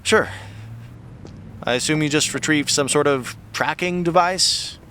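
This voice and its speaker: An actor playing an awkward, wry young man in an audio drama, hesitant and deadpan in his wording. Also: a little high, unhurried, a little hiss or hum. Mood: wry, puzzled.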